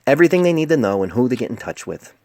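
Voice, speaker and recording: A man's voice: medium pitch, very animated, rapid-fire, darkish tone, voice pushed up. A man voicing a young male pony resident doctor in a storybook reading, defensive, indignant and smart-aleck.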